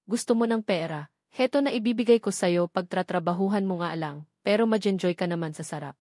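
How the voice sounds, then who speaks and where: A woman's voice: fairly low pitch, a little fast, a little mellow, no echo, clean studio sound, natural voice. Woman reading as a deceitful, coaxing boyfriend. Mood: mocking coaxing. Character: deceitful, coaxing male lover turned exploiter.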